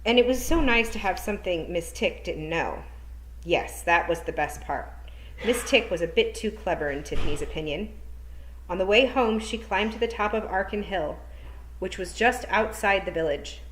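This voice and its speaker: A woman teacher reading a children's novel aloud at storytime, lively and expressive. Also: medium pitch, normal speed. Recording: echoey room.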